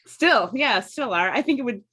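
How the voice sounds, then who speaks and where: A woman's voice: on the high side, expressive, normal speed, fairly bright tone, husky. A woman talking casually and enthusiastically, like a theatre director thinking aloud about performance. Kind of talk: casual discussion of theatre craft.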